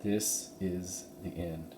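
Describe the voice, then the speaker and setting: A man's voice: sits low, steady tone, very slow, mellow. Man acting an anxious, doubtful young boy. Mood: worried. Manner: whispery worried questions and asides. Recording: roomy echo, faint background noise.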